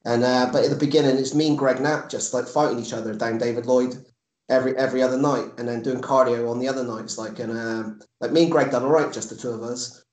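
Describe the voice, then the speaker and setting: An Englishman in his forties chats casually in an echoey room. He rambles and repeats himself, with a blunt turn of phrase, at an ordinary male pitch. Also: fairly even intonation, fast, a little mellow. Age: 40s. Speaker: podcast guest, MMA coach and fighter. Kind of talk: casual chat.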